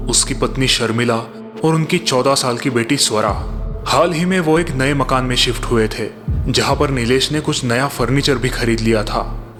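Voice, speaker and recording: A man's voice: medium pitch, fairly bright tone. A man narrating scripted moral and horror stories in a dramatic storytelling style.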